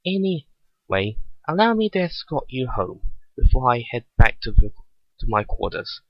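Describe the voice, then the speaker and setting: A man voicing a gruff but respectful soldier bodyguard in a story reading, formal and dutiful. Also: mid-range voice, sing-song, normal speed, dry recording. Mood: dutiful, polite. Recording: dull lo-fi recording.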